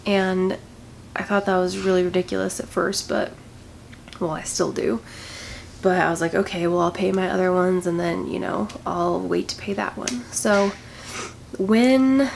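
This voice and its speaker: A young woman vlogs to her camera from a small room, her voice stuffy and nasal with a cold. She speaks in a slow, halting, sorrowful way, with a gravelly edge under her mid-pitched voice. A faint hiss sits behind her. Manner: quiet, heavy, grieving, slow and halting. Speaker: vlogger. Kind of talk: personal vlog, talking to camera. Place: indoors, small room with patterned backdrop. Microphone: camera in front, head-and-shoulders framing.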